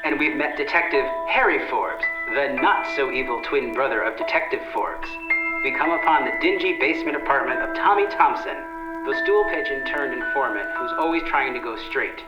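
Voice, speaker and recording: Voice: mid-range voice, lively intonation, normal speed. A narrator reading scripted links in the style of an old-time radio show. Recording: echoey, dull, radio-style. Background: loud music.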